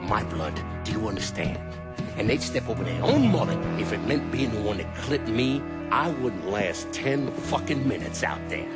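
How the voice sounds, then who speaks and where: Casting: cornered American crime boss, bitter and profane, widely swinging pitch, video game drama with loud music. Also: male voice, big pitch swings, moderate pace. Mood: bitter despair. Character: desperate, foul-mouthed male mob boss, middle-aged or older. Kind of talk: dramatic game cutscene acting.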